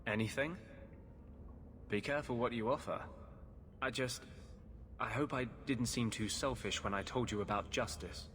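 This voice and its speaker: A man's voice: mid-range voice, normal speed, long pauses, fairly bright tone, slight background noise, lightly acted. A man playing an earnest, idealistic and bitter rebel mage in a video game, with a confiding manner. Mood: confiding, regretful. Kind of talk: dramatic video game acting.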